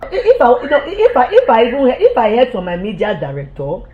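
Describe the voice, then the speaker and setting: A woman's voice: on the high side, wildly swooping pitch, brisk, dull audio. A woman giving an impassioned political monologue, heated and accusatory, repeating herself and stumbling over restarts when agitated.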